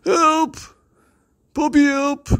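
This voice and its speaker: Bully crybaby boy, put on by a storyteller: very high, loud, squealing. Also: level tone, extremely slow, gravelly, exaggerated voice. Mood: scared. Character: big blubbering bully boy.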